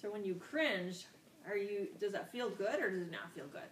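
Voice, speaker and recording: A woman's voice: mid-range voice, expressive, normal speed. Woman teaching young children, distant roomy sound. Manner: instructional, repeats key words, prompts with questions. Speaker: elementary school teacher. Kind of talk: interactive classroom vocabulary lesson for young children. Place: classroom, recorded from a distance. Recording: roomy, distant microphone with faint background noise.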